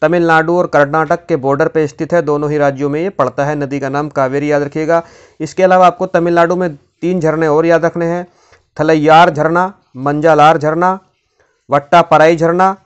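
Male tutor for exam drills, smooth and slightly high voice, repeats and quizzes, lo-fi sound. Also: steady tone, moderate pace. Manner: repeats key facts, quizzes the viewer. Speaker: teacher. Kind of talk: exam-prep geography lesson.

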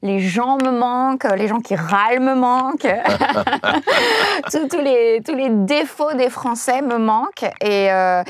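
A woman with a slightly high voice answers questions as a talk show guest. She gropes for words with many fillers and repeats. Born in the USA and raised in France. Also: slow. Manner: hesitant, many fillers, expressive hands. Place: dim lounge set, leather armchair.

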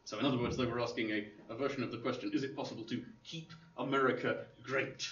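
A man's voice: mid-range voice, steady tone, quick pace, a bit bright. A man giving an informal lecture, rambling with many fillers and restarts, with dry humor. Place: classroom. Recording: roomy, distant, dull lo-fi room recording with faint hiss.

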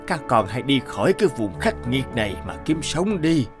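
Slow, weary old father. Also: medium pitch, big pitch swings, music in the background. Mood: weary, resigned. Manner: slow, gentle, earnest. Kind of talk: cartoon fairy tale acting.

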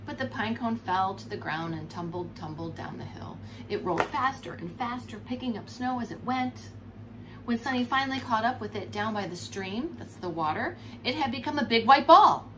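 A woman in her forties reading a storybook aloud to young children as a preschool teacher, expressive and explanatory, recorded indoors at home. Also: on the high side, normal speed. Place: furnished room at home, indoors. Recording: clear background noise.

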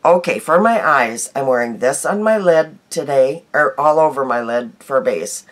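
A middle-aged woman vlogging her daily makeup in a small bedroom, chatty and homey, her voice hoarse from a cold. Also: extremely low pitch. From United States. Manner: chatty, homey, laughs easily, trails off. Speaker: beauty vlogger. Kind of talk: casual daily makeup chat to camera.

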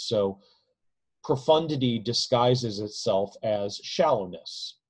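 A man's voice: medium pitch, darkish tone. A man in his forties giving a casual, discursive lecture from a home room into a close webcam, often adding "right?" to his points. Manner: discursive, frequent "right?" tag questions. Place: home room with bookshelves, indoors. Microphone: webcam at close range.

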